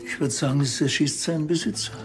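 An actor playing a grumbly, deadpan policeman in a comic radio drama. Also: fairly high pitch, fast, soft background music, natural voice.

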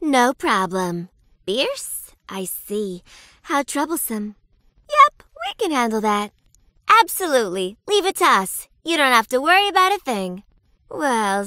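An actress playing a perky but sinister reaper nurse in a video game, sugary and polite with menace underneath. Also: female voice, on the high side, bright, forceful tone, no room sound, clean studio sound, exaggerated voice. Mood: perky, teasing. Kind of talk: theatrical video game character acting.

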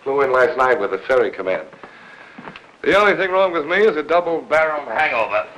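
A man's voice: medium pitch, sing-song, fairly quick, a bit bright, a little hiss or hum, poor audio. An American actor in his thirties playing a cocky, flirtatious, wisecracking military pilot in a 1950s Hollywood film. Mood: wry. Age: 30s. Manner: wisecracking, smooth-talking.

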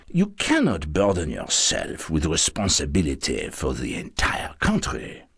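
An actor playing a theatrical medieval nobleman and soldier in a video game, speaking in a dramatic, declamatory way with a creaky, husky voice. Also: sits low, full character voice. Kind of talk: video game cutscene acting.

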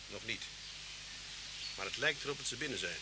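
An actor in an old radio play playing a brave police inspector who gives clipped, decisive orders. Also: male voice, fairly low pitch, animated, moderate pace, lightly acted. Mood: tense, commanding. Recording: slightly noisy old radio drama.

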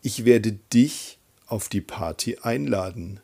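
Slow, low-voiced man teaching, slightly husky. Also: a little mellow. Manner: deliberate, instructional, repeats sentences. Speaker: German language teacher. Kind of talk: scripted grammar drill, reading example sentences.

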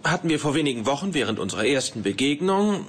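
An actor dubbing a pedantic, socially awkward young physicist with precise, stiff speech, over a noisy TV soundtrack. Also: male voice, on the high side, very animated, quick pace, a bit bright, husky, exaggerated voice. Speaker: Sheldon, physicist son. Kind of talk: German TV dub. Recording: background noise under dialogue.

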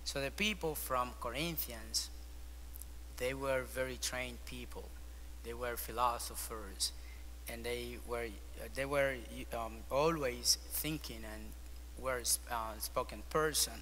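Spanish-accented priest, bright tone, long church reverb. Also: male voice, mid-range voice, takes it slowly, slight background noise. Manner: hesitant, searching for words with frequent ums. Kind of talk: weekday homily. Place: large ornate church, at the altar. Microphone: far camera.

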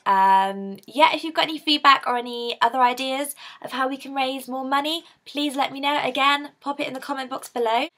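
A bubbly young British woman chats straight into her webcam from her bedroom. Her voice sits a little high for a woman, and she laughs often as she talks. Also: a bit bright. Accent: British English. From United Kingdom. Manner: bubbly and chatty, laughs often, gestures with hands. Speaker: vlogger raising money for a charity. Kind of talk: casual direct-to-camera appeal. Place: bedroom, indoors. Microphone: webcam in front of her.

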